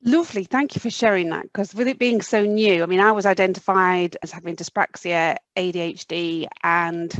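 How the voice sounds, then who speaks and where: A woman's voice: on the low side, fairly even intonation, moderate pace, few pauses, dry recording, dull audio. A woman, hosting a conversational podcast interview. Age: 40s.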